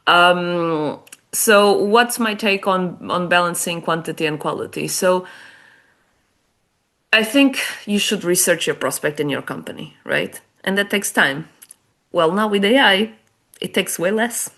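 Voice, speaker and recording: Portuguese-accented woman, lowish voice. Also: unhurried, fairly bright tone. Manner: chatty, explanatory, many restarts and small laughs. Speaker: guest author and business development head. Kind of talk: casual interview talk.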